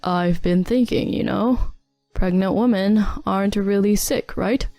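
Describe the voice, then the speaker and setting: A woman's voice: mid-range voice, measured pace, dark tone, faint music behind, lightly acted. A woman voicing a dismissive young husband who talks down to his pregnant wife. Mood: scornful. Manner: curt, condescending.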